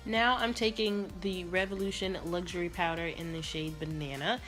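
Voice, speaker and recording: A young woman vlogger with a raspy voice and a sore throat, chatting casually through a makeup tutorial. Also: fairly low pitch, moderate pace, faint music behind. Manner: chatty, rambling, relaxed. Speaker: beauty vlogger.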